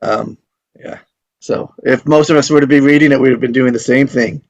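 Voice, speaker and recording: A man hosting a casual group discussion after a script reading, talking in a halting, loosely worded, appreciative way. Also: mid-range voice, creaky, dry recording, muffled recording. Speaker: table read host.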